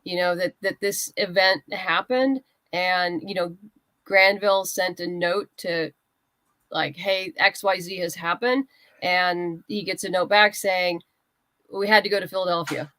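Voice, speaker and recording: A woman's voice: fairly low pitch, fairly even intonation, unhurried, fairly bright tone, no echo. A woman speaking casually as a guest writer in an interview, explaining her creative process and acting out little asides.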